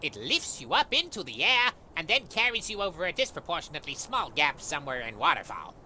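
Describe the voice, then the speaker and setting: Woman doing showy upbeat drama character, very sharp low-ish voice, swings all over. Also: big pitch swings, brisk, a little hiss or hum, heavily acted. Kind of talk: audio drama acting.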